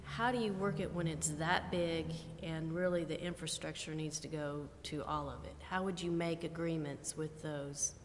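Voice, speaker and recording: A woman's voice: low-pitched, steady tone, moderate pace, hardly any pauses. A woman putting questions as a committee member at a public meeting, thinking them through as she speaks. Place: city council chamber. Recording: reverberant meeting room, faint noise.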